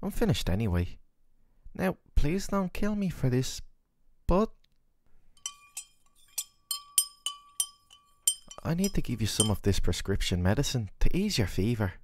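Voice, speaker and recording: A man's voice: mid-range voice, sing-song, moderate pace, darkish tone, no echo. A man playing a worried, tender boyfriend in an audio roleplay, speaking in a soothing, earnest, affectionate way. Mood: coaxing, firm. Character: worried, tender, doting boyfriend caring for his sick partner. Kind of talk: scripted boyfriend roleplay ASMR.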